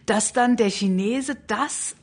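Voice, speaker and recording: A woman's voice: on the high side, slow. A woman praising a novel enthusiastically in a casual panel discussion. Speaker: discussion guest reviewing the book.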